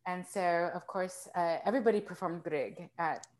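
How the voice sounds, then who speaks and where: A woman chatting as a podcast guest, telling stories in an animated, self-mocking way. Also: on the low side, normal speed. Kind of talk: casual conversational storytelling.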